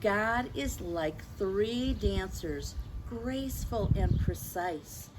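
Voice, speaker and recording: An older woman tells a story to young children, taking her time over each phrase. Her pitch moves in lively, expressive swings, and she often turns to her listeners with gentle asides and questions. Noticeable ambient noise sits behind her voice. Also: very slow. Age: 60s or older. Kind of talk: picture book read-aloud to children with gentle asides.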